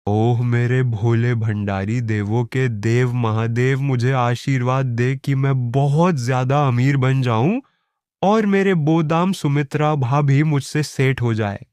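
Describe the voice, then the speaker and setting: Mellow, slow, cheeky village pandit played by a man. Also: medium pitch, clear, resonant tone, no room sound, clean studio sound, lightly acted. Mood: devout, eager. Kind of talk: cartoon voice acting.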